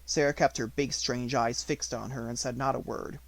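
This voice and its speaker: A man's voice: medium pitch, fairly even intonation, normal speed, gravelly, dry recording. A man reading scripted narration from a children's novel as an audiobook narrator.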